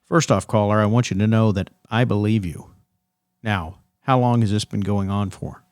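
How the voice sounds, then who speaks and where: An actor playing a reassuring 1990s late-night radio host of a paranormal show, with smooth showman patter. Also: fairly low pitch, steady tone, moderate pace, darkish tone, no echo, studio quality, close to an everyday voice.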